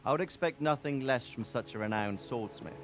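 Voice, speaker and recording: An actor playing a dignified, courteous middle-aged medieval lord in a video game, formal and stately in manner. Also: male voice, mid-range voice, fairly quick, lightly acted. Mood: appraising. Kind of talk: dramatic game dialogue.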